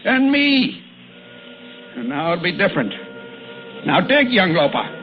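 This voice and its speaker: An actor playing a grim, obsessive older farmer with a rural American accent in an old radio drama. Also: male voice, high voice, big pitch swings, unhurried. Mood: fervent, obsessive. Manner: brooding, menacing, folksy. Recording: narrow, hissy vintage radio recording.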